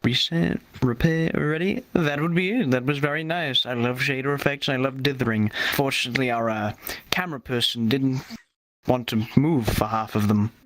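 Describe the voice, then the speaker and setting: A man's voice: medium pitch, normal speed, fairly bright tone, dry recording. A man hosting a community meetup who introduces presenters with playful jokes. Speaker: event host. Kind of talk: casual hosting.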